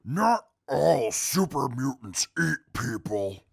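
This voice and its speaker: An actor playing a grandiose, easily offended super mutant with a gravelly, theatrical way of speaking in an audio drama. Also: male voice, mid-range voice, very animated, slow, no echo, studio quality, full character voice. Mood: guarded. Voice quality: gravelly, raspy. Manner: pompous, theatrical, formal diction. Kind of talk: post-apocalyptic audio drama acting.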